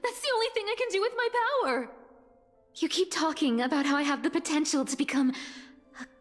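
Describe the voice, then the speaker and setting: A woman playing a street-smart, expressive young female thief in a video game, playful but insecure underneath. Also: sits high, brisk. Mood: frustrated. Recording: reverberant hall, music underneath.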